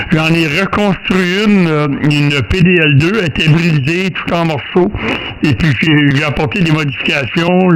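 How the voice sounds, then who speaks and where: Quebecois guy chatting on ham radio, kinda high voice, rambly, noisy signal w/ clicks. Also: fairly bright tone. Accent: Québécois French. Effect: two-way radio transmission. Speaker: amateur radio operator. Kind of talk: casual radio chat about antennas.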